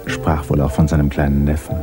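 A man narrating a literary radio drama in a measured, composed storytelling manner. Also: very deep voice, flat delivery, over loud music. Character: calm omniscient male narrator of a literary tale.

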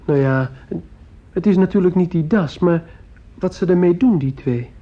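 A man's voice: high voice, moderate pace. An actor playing a feverish fifteen-year-old boy in a radio play, earnest and dreamy, hesitantly groping for words. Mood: earnest, puzzled. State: ill, sore throat. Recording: dull lo-fi old radio recording.

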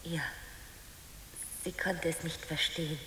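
A woman playing an exhausted, resigned daughter in a radio drama, speaking in short, subdued, breathy answers. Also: deep voice, little pitch movement, slow, a bit bright, slight background noise. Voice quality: breathy, whispery. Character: exhausted, resigned grown daughter, calm and dutiful. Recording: echoey reverberant hall.

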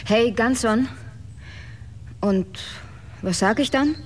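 An actress playing a cool, needling investigator and writer in a German radio drama, with dry, probing standard German. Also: female voice, a little high, slow pace, slight background noise. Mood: uncertain.

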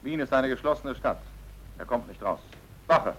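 A man's voice: mid-range voice, expressive, normal speed, a bit bright, a little hiss or hum, lightly acted, rough recording. A male actor playing a stern, insistent military police interrogator in a German drama, clipped and commanding. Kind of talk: German radio drama or film-dub acting.

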